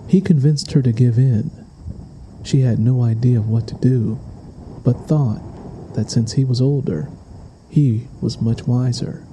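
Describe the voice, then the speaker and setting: A man's voice: mid-range voice, a little slow, warm tone, slight background noise, poor audio. A man reading a scripted, dramatic story as its narrator. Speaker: audiobook narrator.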